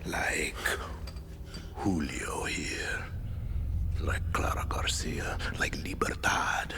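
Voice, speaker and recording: An actor playing a calm, menacing middle-aged dictator and father, with a Latin American Spanish accent and a measured, deliberate delivery in a game cutscene. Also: rumbling low, gravelly, whispered, full character voice. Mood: quiet menace. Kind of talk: dramatic game cutscene acting. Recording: music behind the dialogue.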